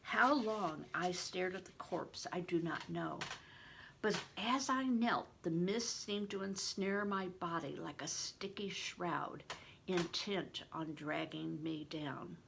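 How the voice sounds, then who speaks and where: An older woman reading a novel aloud in an expressive, deliberate storytelling manner, stressing words for drama. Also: fairly low pitch, vocal fry, background noise. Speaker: reader, retired reading teacher. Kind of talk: expressive audiobook-style read-aloud of a novel.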